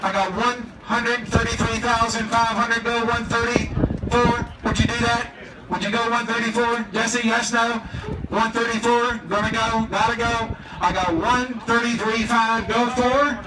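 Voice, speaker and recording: An American man calls an auction in a high-pitched, rhythmic chant, repeating bids and prodding bidders. He is outdoors and heard from a distance, with echo on his voice and a crowd around him. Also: level tone, measured pace, background noise. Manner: repetitive, rhythmic bid calling, pushing bidders. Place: outdoors on a marina dock. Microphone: picked up by a distant camera.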